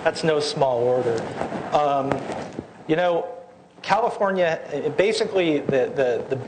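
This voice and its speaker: A man's voice: a little high, measured pace, noisy background. A man running for office, answering forum questions in a confident, persuasive way and checking in with "right?" tags. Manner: assertive and persuasive, with rhetorical tags. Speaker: school board member running for office. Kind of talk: unscripted campaign answer. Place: public forum hall.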